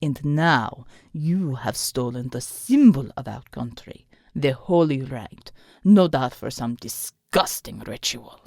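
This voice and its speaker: A man's voice: mid-range voice, animated, gravelly, a little mellow, no room sound, pitched below the performer's own voice, full character voice. A woman growling as a snarling male thug. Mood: menacing sneer. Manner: snarling, slow and menacing. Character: cruel sneering male thug leader.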